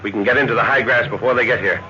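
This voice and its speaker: A man's voice: mid-range voice, steady tone, close to an everyday voice. An actor playing a decisive older professor and father who leads a jungle expedition in a 1930s radio drama. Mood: urgent, commanding. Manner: musing hums, brisk orders. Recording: muffled, hissy old 1930s radio recording.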